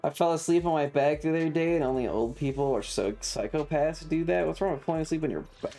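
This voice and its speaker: A woman livestreamer chatting casually over a video game in a blunt, sweary, deadpan manner, with game music behind her. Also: sits low, fast. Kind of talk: casual game streaming chat.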